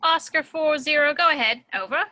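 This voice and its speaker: Female actor as radio dispatcher. Brisk, forceful, high-pitched. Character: police radio dispatcher woman. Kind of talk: film script table read.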